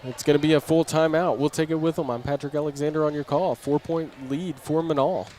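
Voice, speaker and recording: A man's voice: on the high side, fairly even intonation, fairly quick, a little mellow. A man doing live play-by-play basketball commentary in short, clipped running calls, as a sports announcer. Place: indoor gymnasium during a basketball game. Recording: noisy live gym broadcast.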